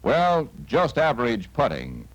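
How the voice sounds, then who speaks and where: A man's voice: medium pitch, huge pitch swings, measured pace, dull audio. An American man narrating a scripted 1950s newsreel in a dramatic, punchy announcer style. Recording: vintage 1950s newsreel soundtrack.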